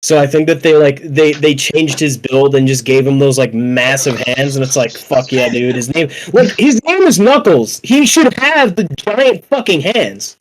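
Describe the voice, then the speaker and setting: Man, slightly high pitch, casual fan podcast talk, enthusiastic, rambling, repetitive, sweary. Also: normal speed, few pauses, rough recording. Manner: rambling, profane, repeats phrases. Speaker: podcast guest or panelist.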